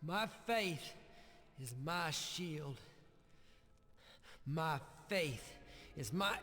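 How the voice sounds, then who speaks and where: A man's voice: a little high, expressive, drawn-out words, pushed and bright, long reverb tail, faint music behind, heavily acted. An actor playing an earnest, pious young man, solemn and pleading, in a video game cutscene. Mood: solemn praying.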